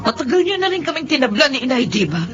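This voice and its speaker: High-voiced tough young female boxer. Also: sing-song, fast. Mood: resentful. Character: tough young female street boxer, bitter wronged daughter. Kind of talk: Tagalog radio drama acting. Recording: muffled lo-fi audio under loud music.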